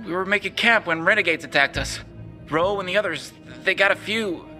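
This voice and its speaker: A man's voice: sits high, a little fast. A man voicing a scared soldier left behind, talking in a nervous, rattled way. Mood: shaken. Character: shaken young male soldier, scared survivor. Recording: cutscene with loud score music.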